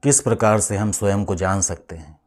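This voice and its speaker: A man leading a guided meditation as a spiritual teacher, speaking in a measured, instructive way with deliberate pauses. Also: lowish voice.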